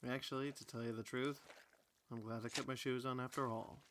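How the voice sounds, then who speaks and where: A man's voice: mid-range voice, little pitch movement, brisk, noisy background, lightly acted. A man playing a mild, puzzled, understated newcomer who tags along in a comedy audio drama. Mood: bemused. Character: mild, bemused male newcomer tagging along.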